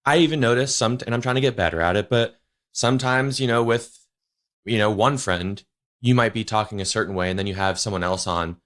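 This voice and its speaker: Rambling, slightly low-voiced male host. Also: a bit bright. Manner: rambling, heavy use of "you know" and "like". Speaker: podcast host. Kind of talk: casual interview chat.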